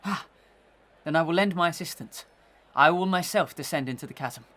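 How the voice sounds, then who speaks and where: An actor playing a methodical, suspicious gentleman accuser in a Victorian audio melodrama, telling his account formally and dramatically. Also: fairly high pitch, moderate pace. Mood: urgent, grave.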